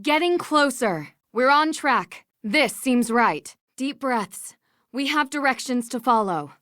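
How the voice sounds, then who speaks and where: Plucky young detective, higher-pitched, close and dry. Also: female voice, animated, slow pace, fairly bright tone, no echo, full character voice. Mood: focused, self-reassuring. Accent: American. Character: young female amateur detective, polite, plucky and determined. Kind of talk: video game voice acting.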